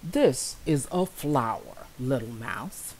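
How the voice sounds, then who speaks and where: A kindly, unhurried bumblebee from a picture book, as a woman reads him, low and gentle. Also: big pitch swings, vocal fry, a little hiss or hum, exaggerated voice, poor audio. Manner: slow, gentle, matter-of-fact. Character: calm, kindly male bumblebee who explains things.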